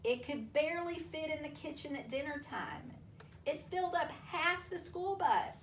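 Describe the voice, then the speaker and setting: A woman reading a children's storybook aloud to families, with expressive, deliberate delivery and drawn-out emphasis. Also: sits high. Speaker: school superintendent reading a picture book aloud to families. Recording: roomy, distant mic with faint noise.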